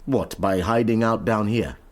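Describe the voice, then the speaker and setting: A man's voice: lowish voice, unhurried, close to an everyday voice. An actor playing a middle-aged father in a radio drama, stern and exasperated, reproachful yet earnest with his estranged son. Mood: indignant.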